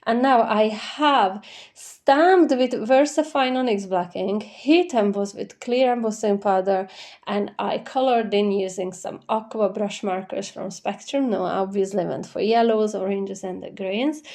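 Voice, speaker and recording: A woman talks through a craft tutorial step by step in a dark, relaxed, mid-pitched voice. She sounds slightly nasal from a head cold. Her explaining is gentle and chatty, in an informal voice-over style. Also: measured pace. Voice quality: stuffy nose, slightly nasal. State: congested with a cold.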